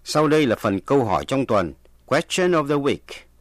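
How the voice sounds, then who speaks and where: A man's voice: mid-range voice, very animated, a little fast, no room sound, clean studio sound, faint music behind. A native Vietnamese man reading scripted language lesson narration as the presenter.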